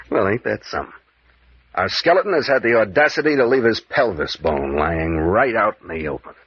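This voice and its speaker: An American actor playing a bold, sardonic adventurer captain in an old-time radio serial, with needling, probing delivery. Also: male voice, on the low side, animated, normal speed, pauses often, slightly rough. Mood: amused, eager. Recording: muffled, echoey vintage radio recording.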